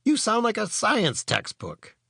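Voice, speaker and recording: Storybook kid sidekick, read by a man: doubtful, puzzled, blunt, swooping pitch. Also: wildly swooping pitch, a little slow, creaky, sharp tone, husky, no room sound, clean studio sound, exaggerated voice. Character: skeptical, easily baffled boy, the inventor's pal.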